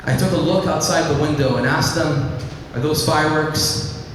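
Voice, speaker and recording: A teenage boy from Iraq, raised in Lebanon, giving an earnest personal speech to an audience and telling his story at a measured pace. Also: a little high, fairly even intonation, a little hiss or hum. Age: teens. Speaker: student speaker. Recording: echoey hall, mic at a distance.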